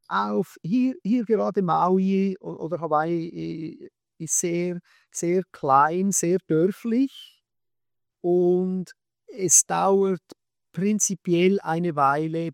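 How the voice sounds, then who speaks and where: Swiss-accented man, halting and dry-sounding. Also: high voice, creaky, darkish tone, smooth, no room sound. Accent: Swiss German. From Switzerland. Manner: hesitant, many fillers and restarts. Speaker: guest, skate shop owner and magazine maker. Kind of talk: casual interview.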